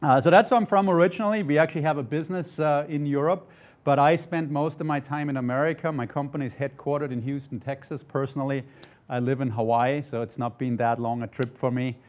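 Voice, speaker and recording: A man's voice: medium pitch, fairly even intonation, fast, darkish tone. A middle-aged man from Austria giving an informal technical presentation in a conversational way, often tagging statements with "right?". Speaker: conference presenter, software developer. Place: indoor studio stage with desk and laptop.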